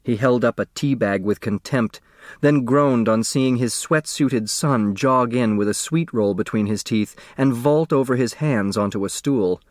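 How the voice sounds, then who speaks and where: A man's voice: medium pitch, unhurried, dry recording, clean studio sound. A man reading a novel aloud as an audiobook narrator, in scripted literary narration.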